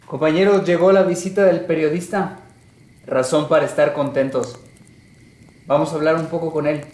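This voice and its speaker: An actor playing an earnest, militant rural guerrilla leader in a radio drama, speaking in measured political rhetoric. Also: male voice, a little high, fairly even intonation, a little mellow, lightly acted. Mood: welcoming. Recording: echoey, clearly noisy ambience.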